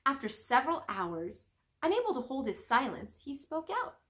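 A young woman reading a children's storybook aloud in an expressive, teacherly way with careful pauses. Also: sits high. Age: young adult.

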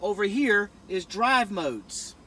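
Middle-aged man, high-pitched, informal car-walkaround presenter, recorded on camera in a truck cab with some background noise. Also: lively intonation, takes it slowly, sharp tone. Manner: folksy, informal. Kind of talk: casual vehicle walkaround. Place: inside a pickup truck cab in a parking lot. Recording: camera audio with cabin noise.

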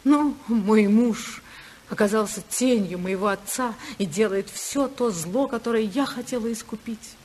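An actress playing a passionate, unhappy senator's wife in love, in a fervent, emotional 1950s radio drama style. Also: female voice, fairly high pitch, unhurried, a little mellow, slight background noise, lightly acted. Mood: bitter, weary.

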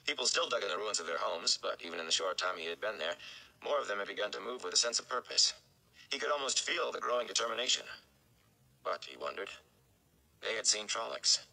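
A man's voice: on the low side, expressive, a little fast, vocal fry, pushed and bright, low-quality recording. A man in his thirties giving a dramatic audiobook-style narration of a fantasy novel. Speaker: read-along reader. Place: indoor room at home.

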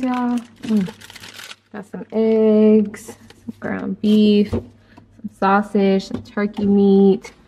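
Young woman, chatty vlogger, unhurried delivery, phone-filmed at home, faint background hiss. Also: medium pitch, a little mellow. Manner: chatty, playful, slangy. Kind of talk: casual vlog chat. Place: apartment kitchen indoors.

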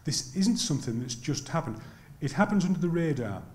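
A man's voice: on the high side, lively intonation, a little mellow. An older man answering an audience question at a university talk, full of restarts and repeated words, holding a handheld mic in a lecture theatre. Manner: halting, many restarts, then earnest. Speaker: panel speaker. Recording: echoey lecture hall.

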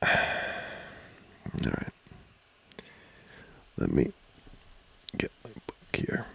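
A man playing an amorous, giggly student boyfriend in an intimate ASMR roleplay, talking haltingly and teasingly close to the mic. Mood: relaxed, distracted. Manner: halting, soft-spoken, chuckling, teasing. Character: amorous, giggly young male student boyfriend trying to study. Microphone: close microphone, dry.

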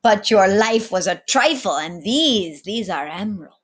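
A woman's voice: mid-range voice, expressive, unhurried, husky. A woman voicing a sly, commanding male sea captain in a theatrical storybook read-aloud, tagging lines with little questions. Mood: teasing.

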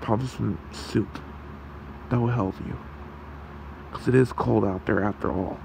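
A man voicing a gentle, protective fox creature in an ASMR roleplay, with a soothing, halting, gravelly delivery. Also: on the low side, steady tone, noisy background. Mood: caring. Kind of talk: ASMR roleplay, soft comforting talk.

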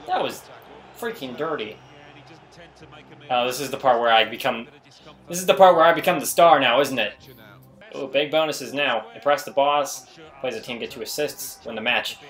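A man's voice: mid-range voice, animated, moderate pace, long pauses, a bit bright. Guy hyping himself up over a video game, slangy commentary, music playing behind him. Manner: exclamatory, self-hyping, slangy. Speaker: gaming video host. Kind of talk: excitable gameplay commentary.

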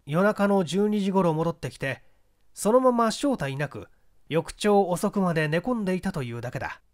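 Male narrator for mystery audiobook, higher-pitched voice, animated intonation. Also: normal speed. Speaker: audiobook reader, stage actor. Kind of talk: scripted literary narration of a mystery story.

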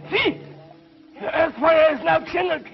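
An actor playing a servile, excitable henchman to an evil king, in theatrical 1950s serial style. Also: very high voice, normal speed, a little hiss or hum. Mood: gleeful excitement. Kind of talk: 1950s television serial villain acting. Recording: dull 1950s TV soundtrack with music.

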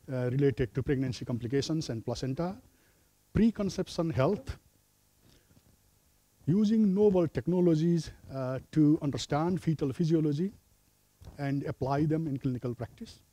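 A middle-aged man from Nepal delivers a lecture from a lectern in a lecture room. His voice is mellow and relaxed, recorded dry and close. He speaks in a measured, hesitant way, pausing with fillers. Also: on the high side, dry recording. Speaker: professor of obstetrics. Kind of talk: academic lecture.

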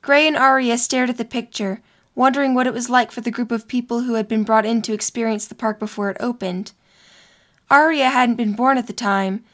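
A young woman reading scripted story narration for an audio drama. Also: on the high side.

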